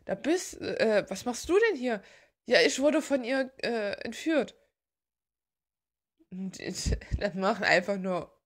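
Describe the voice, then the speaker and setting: A woman's voice: mid-range voice, expressive, takes it slowly, long pauses. A woman chatting casually and giggling as she gushes like a fan, rambling along as the host of a video. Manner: gushing, giggly.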